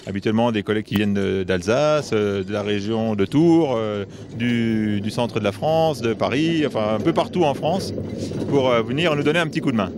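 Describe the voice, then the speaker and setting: A man's voice: lowish voice, normal speed, background noise. A man from a heritage association, outdoors in a forest, explaining the site steadily with many filler hesitations. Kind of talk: explanatory interview answers.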